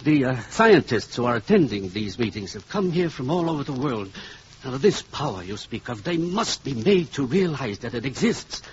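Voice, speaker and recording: Through a muffled, faintly noisy old recording, a man acts an earnest professor type in a 1950s radio play. His pitch moves around a lot as he hesitates uneasily over his words. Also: big pitch swings, moderate pace, natural voice. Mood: earnest, uneasy. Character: earnest, uneasy learned man negotiating with a powerful visitor. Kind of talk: 1950s radio drama acting.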